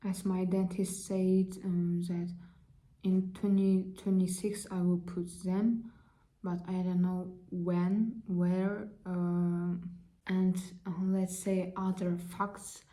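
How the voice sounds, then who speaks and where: A young woman from Kazakhstan with a Kazakh accent, introducing herself in halting, careful learner's English full of fillers. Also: lowish voice, level tone, dark tone. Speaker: vlogger. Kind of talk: casual self-introduction.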